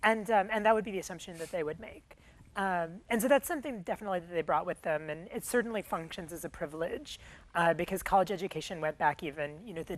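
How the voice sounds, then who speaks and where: A middle-aged woman from Michigan, Tamil her first language, talking in an interview, thinking aloud with frequent ums and restarts. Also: on the low side. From Michigan, USA. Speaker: guest. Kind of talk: conversational interview.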